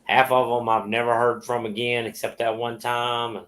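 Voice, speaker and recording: A man's voice: mid-range voice, little pitch movement, moderate pace, fairly bright tone. A man co-hosting a casual livestream chat. He sounds stuffed up and talks in an easygoing, chatty way. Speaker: livestream co-host.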